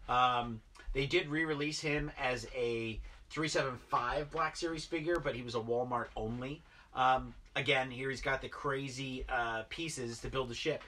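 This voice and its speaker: A man's voice: mid-range voice, steady tone, measured pace, pushed and bright, distant mic. A man in his thirties chatting casually while unboxing toys in a basement, rambling with lots of fillers. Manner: rambling, filler-heavy, enthusiastic about toys. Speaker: toy collector vlogger. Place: basement toy room, indoors.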